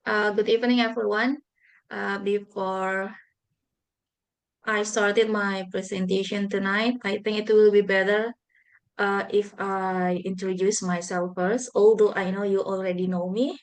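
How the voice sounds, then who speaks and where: A woman's voice: mid-range voice, fairly even intonation. Indonesian-accented woman teacher presenting. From Indonesia (South Sulawesi). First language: Bugis. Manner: careful, measured, with frequent fillers and self-corrections. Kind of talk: online speaking-practice presentation.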